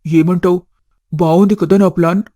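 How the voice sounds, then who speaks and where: Man acting as a mellow lovestruck young man. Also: sits high, steady tone, normal speed, dry recording, studio quality. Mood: earnest. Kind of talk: scripted cartoon story dialogue.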